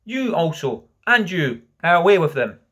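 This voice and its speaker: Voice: medium pitch, sing-song, normal speed, fairly bright tone, voice pushed up. A storybook reader voicing a cocky boy leader who gives orders in a commanding, sometimes mock-posh way. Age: child. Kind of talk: storybook reading with character voices.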